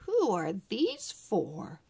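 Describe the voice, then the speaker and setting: A curious young storybook boy, voiced by a woman, speaking slowly and emphatically. The voice is husky, and the pitch moves in lively steps. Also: heavily acted.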